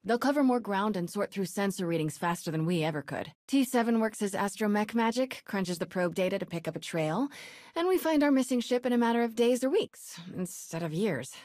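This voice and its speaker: An actress playing a confident female sci-fi ally in a video game, brisk and lightly teasing. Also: mid-range voice, animated, a little mellow, dry recording, studio quality, close to an everyday voice. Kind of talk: video game cutscene acting.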